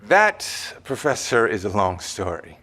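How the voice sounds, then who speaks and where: An actor playing a cagey, unflappable middle-aged man with secret knowledge, speaking in a measured, dry audio drama delivery. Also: on the low side, sing-song, bright, forceful tone, full character voice. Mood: measured, purposeful. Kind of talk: naturalistic audio drama acting.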